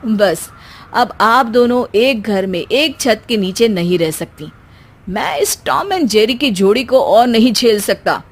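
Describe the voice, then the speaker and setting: A woman voicing an exasperated, sarcastic adult son and husband in an animated family story, bossy and teasing. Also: fairly high pitch, a little slow. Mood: mock stern.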